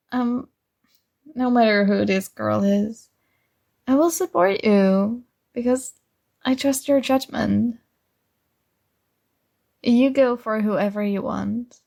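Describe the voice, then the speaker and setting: A young woman performing ASMR roleplay as a sweet, oblivious friend, earnestly giving love advice with little hesitant pauses. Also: on the high side, gravelly, a little mellow. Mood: earnest, encouraging. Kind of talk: soft ASMR roleplay acting.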